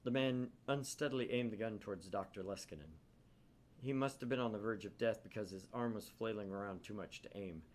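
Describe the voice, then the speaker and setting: A man's voice: lowish voice, fairly quick, slight background noise. A man hosting a gaming channel, narrating a visual novel aloud with theatrical, irreverent casual asides.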